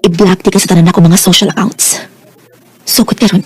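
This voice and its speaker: An actress playing an earnest, stubborn, heartbroken teenage daughter in a radio drama, with a Filipino Bisaya accent. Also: female voice, on the low side, steady tone, fairly quick, soft background music, lightly acted. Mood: hurt. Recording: noisy radio drama track.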